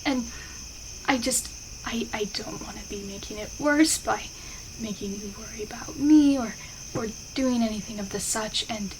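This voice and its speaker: A woman playing a shy, flustered, affectionate best friend in an intimate ASMR roleplay, speaking haltingly and bashfully. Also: fairly high pitch, lightly acted. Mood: tender, nervous. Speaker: voice actor in an audio roleplay. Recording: clear insect and outdoor ambience noise. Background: crickets and night ambience.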